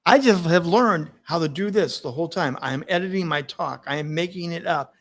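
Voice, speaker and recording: A man hosting a live-stream conversation, talking in an energetic, emphatic way, laughing often and speaking openly about his stutter. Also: a little high, a little fast. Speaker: host.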